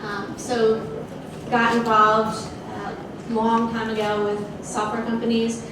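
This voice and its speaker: A middle-aged woman, a sales executive, talking about her career in an onstage fireside chat, measured and thoughtful with many fillers. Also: fairly high pitch, completely flat delivery. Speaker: guest speaker, sales executive. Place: large open office event space with audience. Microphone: handheld mic heard from a distant camera. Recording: echoey room from far off with noise.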